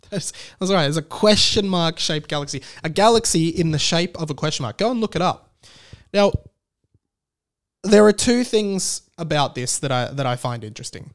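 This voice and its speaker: A man with a slightly high voice delivers a casual comic monologue. He rambles and doubles back on his words, leaning into emphatic, incredulous delivery as a podcast host. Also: expressive, normal speed. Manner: rambling, stammering restarts. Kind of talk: casual comedic solo monologue.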